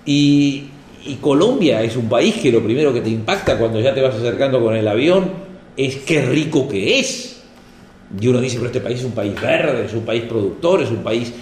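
A man's voice: mid-range voice, lively intonation, moderate pace, darkish tone, a little hiss or hum. A man from Argentina giving a reflective talk to an audience, speaking in a discursive way that builds to rhetorical questions. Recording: echoey room with faint ambience.

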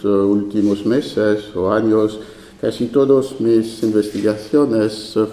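A man with a French accent gives a formal conference lecture at a deliberate, unhurried pace. His dark, mellow voice is measured, with the odd hesitation. It sounds like an echoey lecture hall. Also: fairly low pitch. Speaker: invited speaker. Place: university lecture room.

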